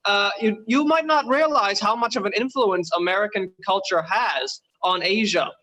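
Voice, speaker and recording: Bright-voiced Bangladeshi man, dry stand-up. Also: sits high, moderate pace. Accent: Canadian-influenced English. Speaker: stand-up comedian. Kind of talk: stand-up set. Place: home in Malaysia, on a video call.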